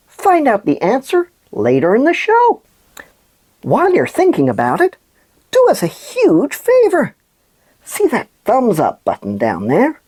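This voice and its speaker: A woman voicing a cheerful, excitable sock puppet who presents a children's news show in a playful, exaggerated way. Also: mid-range voice, no room sound, full character voice. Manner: animated, exaggerated delivery. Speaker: kids' news show host.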